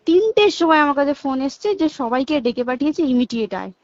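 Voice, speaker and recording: A woman's voice: sits high, animated, fairly quick, a little mellow, no room sound. A young woman with a West Bengal accent talking casually on a recorded phone call, explaining things emphatically and checking that the listener follows. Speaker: hospital staff member. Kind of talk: casual phone conversation recounting events.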